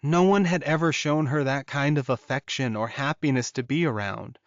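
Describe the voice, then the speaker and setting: A man's voice: mid-range voice, normal speed, no echo. A man narrating scripted fiction as an audiobook reader, in a slightly muffled recording.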